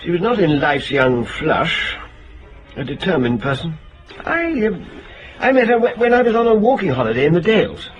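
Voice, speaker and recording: A man's voice: on the high side, big pitch swings, normal speed, lo-fi sound, faint music behind. A British actor playing a genteel, evasive male schoolteacher in a radio drama, with a formal, precious and hesitant way of speaking. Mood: genteel, reminiscing. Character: genteel, evasive schoolteacher courting his female investigator.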